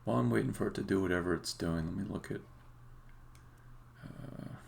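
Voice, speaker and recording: Male game developer streamer, deep and heavily creaky, casual muttered think-aloud, hesitant phrasing. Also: darkish tone, slight background noise. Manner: halting, muttering to himself while working. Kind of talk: casual think-aloud live-coding commentary.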